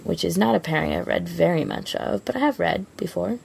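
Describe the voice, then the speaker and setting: Very creaky low-voiced woman podcaster. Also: expressive, a little fast, a little mellow. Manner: gushing, informal. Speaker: podcast co-host. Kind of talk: casual fan discussion.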